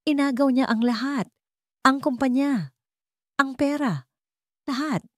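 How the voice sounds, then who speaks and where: A woman's voice: high voice, takes it slowly, no room sound, studio quality, voice pushed up. A woman voicing a proud, ruined rich man who speaks in strained, bitter outbursts, in an audio story.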